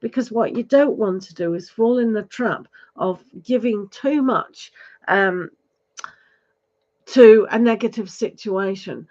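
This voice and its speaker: A woman giving a live tarot reading, advising gently with many fillers, her voice going hoarse. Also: mid-range voice, slow, dull audio. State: losing her voice, clearing throat. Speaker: tarot reader.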